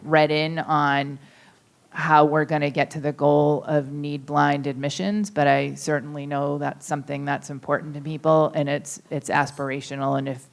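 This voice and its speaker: A woman's voice: low-pitched, level tone, normal speed, gravelly. A woman moderating a panel discussion, hedging and hesitant with fillers.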